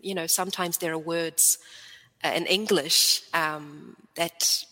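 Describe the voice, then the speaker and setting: Bright-toned, hesitant New woman. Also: fairly low pitch, steady tone, takes it slowly, long reverb tail, rough recording. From New Zealand. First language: Swedish. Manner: thoughtful, hesitant, frequent um fillers. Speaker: storyteller guest. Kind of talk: casual interview chat.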